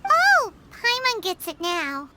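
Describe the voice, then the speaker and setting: A woman voicing a tiny, childlike, blunt fairy companion in a video game, with a squeaky voice. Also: wildly swooping pitch, slow pace, heavy background noise, exaggerated voice. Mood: chirpy, curious.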